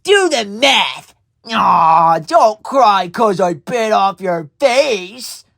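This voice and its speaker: Woman doing a gravelly, sneering animatronic bully. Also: medium pitch, lively intonation, a bit bright, dry recording, clean studio sound, heavily acted. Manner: sneering, drawn-out taunts. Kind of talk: character voice lines for a horror game.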